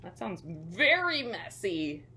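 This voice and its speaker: A woman reading a picture book to children as a storyteller, expressive, with chatty, simple asides to young listeners. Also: lowish voice, slow, a little hiss or hum.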